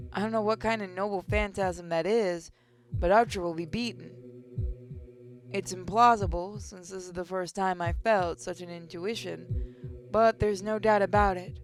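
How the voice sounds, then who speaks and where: Visual novel read-aloud, narrow pitch range, measured dramatic delivery, music underneath. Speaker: gaming channel narrator. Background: game music.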